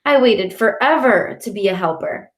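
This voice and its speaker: A woman's voice: mid-range voice, lively intonation, moderate pace, reverberant, natural voice. A woman voicing a cheeky, eager little girl with a direct childlike manner in an audiobook.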